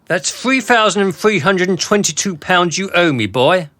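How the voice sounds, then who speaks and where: Sneering high-voiced male villain, sharp and bright. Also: animated, moderate pace, no room sound, clean studio sound. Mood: smug, commanding. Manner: taunting, sneering, commanding. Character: cruel, mocking, superior male psychopath running a torture experiment. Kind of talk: radio drama acting.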